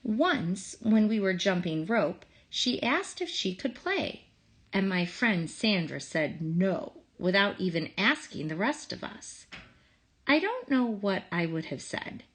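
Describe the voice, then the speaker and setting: Unhurried woman reading a story, lively intonation. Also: medium pitch, husky. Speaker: storybook reader. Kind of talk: expressive children's story read-aloud.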